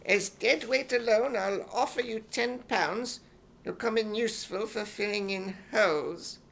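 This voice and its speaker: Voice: medium pitch, measured pace, creaky, fairly bright tone. A performer voicing a frail, fussy, miserly old male pawnbroker with an imitation British accent, in a radio comedy. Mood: fussy, doddering.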